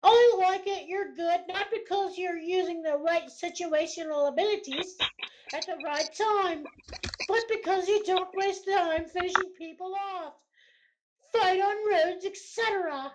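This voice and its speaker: A woman's voice: very high-pitched, measured pace, noisy background. A woman co-host reading gamer forum posts aloud for comedy, casual and prone to giggling.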